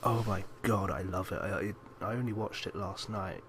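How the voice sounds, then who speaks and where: A man's voice: low voice, moderate pace, a crowd in the background. A man co-hosting a podcast, chatting casually about films. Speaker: podcast co-host. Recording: noisy amateur podcast recording.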